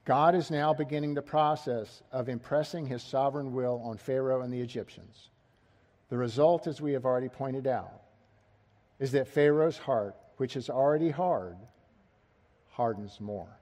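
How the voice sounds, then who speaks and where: A man's voice: medium pitch, moderate pace, a little mellow. A middle-aged man preaching an expository sermon, asking his listeners rhetorical questions and pressing key words with rising emphasis.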